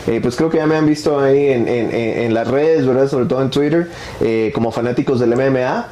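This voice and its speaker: A man's voice: medium pitch, brisk, warm tone, a little hiss or hum. A man in his forties with a Guatemalan accent, chatting casually as a podcast guest and often adding tag questions. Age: 40s. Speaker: podcast guest, MMA social media creator. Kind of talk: casual interview conversation.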